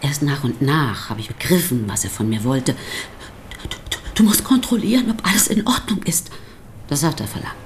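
An actress playing a sardonic, defiant pastor's wife in a radio drama, speaking with provocative, theatrical emphasis. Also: female voice, low-pitched, expressive, normal speed, a little hiss or hum. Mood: wry, narrating. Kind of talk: German radio drama acting.

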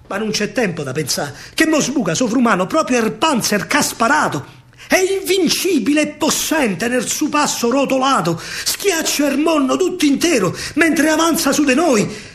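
A man with a Roman accent telling a story in verse as a solo stage actor, theatrical and emphatic, swinging from hushed to loud. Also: very high voice.

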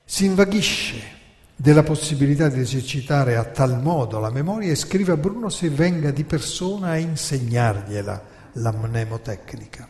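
A man from Italy calmly narrating a historical story on the radio, dropping low at the ends of phrases. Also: moderate pace, a little hiss or hum. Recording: radio broadcast with long reverb.

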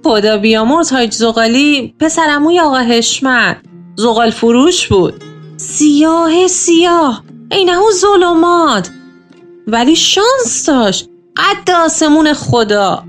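A woman playing a gossipy, excitable, talkative neighbourhood woman in a nostalgic Iranian radio drama. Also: high-pitched, soft background music. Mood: gossiping. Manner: talkative, dramatic exclamations, colloquial.

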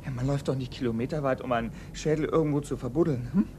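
A man acting a shaken, earnest young police assistant in a German radio crime play, speaking haltingly. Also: medium pitch, dark tone, a little hiss or hum, lightly acted. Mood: agitated, pondering. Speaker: actor in radio crime drama.

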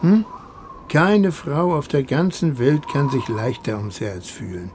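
A slow, kindly old seafarer. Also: male voice, medium pitch, big pitch swings, noisy background. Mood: consoling. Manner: homely, deliberate, folksy. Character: kindly, plain-spoken older seafaring man, consoling. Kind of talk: German radio play acting.

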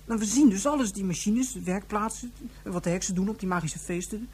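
A woman's voice: mid-range voice, a little fast, darkish tone, a little hiss or hum, lightly acted. A woman playing an earnest, argumentative young boy in a science-fiction radio drama, stumbling over words in eagerness to explain. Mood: earnest, worried.

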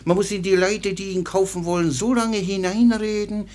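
An actor playing a talkative, sly and simple-minded soldier servant and dog dealer in a radio play, rambling ingenuously. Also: male voice, sits high, steady tone, normal speed, a little mellow, natural voice. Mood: eager rambling. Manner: rambling, folksy, ingenuous storytelling.

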